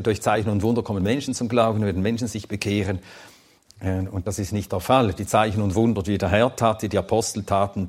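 A man's voice: on the low side, slightly rough. Swedish-born man teaching a Bible class. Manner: thoughtful, plain-spoken, with hesitant fillers. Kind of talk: lecture with audience questions.

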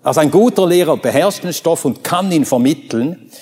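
Male preacher, native Swedish, emphatic sermon delivery with soaring high exclamations, fairly high voice, long hall reverb. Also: expressive, normal speed, a little mellow, breathy edge. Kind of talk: sermon with Bible reading.

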